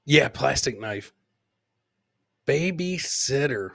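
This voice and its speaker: A man's voice: medium pitch, lively intonation, slow, creaky, a bit bright, dry recording. Man hosting a loose, sweary comedy podcast. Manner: sweary, repeats himself, exclaiming to listeners. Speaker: podcast host. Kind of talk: casual comedic live show chat, reacting to clips.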